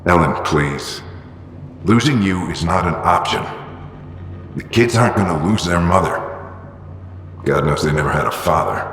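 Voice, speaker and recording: A man's voice: low-pitched, moderate pace, pauses often, fairly bright tone. An actor playing a stubborn, driven middle-aged father and former soldier who speaks insistently. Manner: insistent, urgent. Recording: game audio in an echoey space with long reverb.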